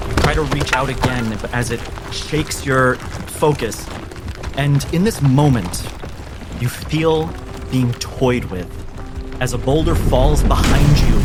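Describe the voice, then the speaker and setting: Male game master, mid-pitched, dramatic and deliberate storytelling for a tabletop campaign, prominent music bed. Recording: music behind the voice.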